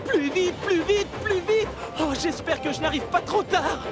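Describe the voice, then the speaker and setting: A man voicing an eager, anxious young male tank engine in a children's cartoon, earnest and excitable. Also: very high-pitched, moderate pace. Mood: anxious, hurried. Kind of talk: French-language children's cartoon dub. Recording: music behind the voice.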